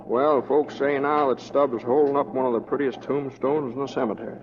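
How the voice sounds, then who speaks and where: An actor playing a folksy, unflappable young deputy in a western radio drama, easygoing and understated with a drawl. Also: male voice, medium pitch, steady tone, brisk, close to an everyday voice. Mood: mild, wry. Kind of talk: 1940s radio acting. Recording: muffled old radio broadcast, faint noise.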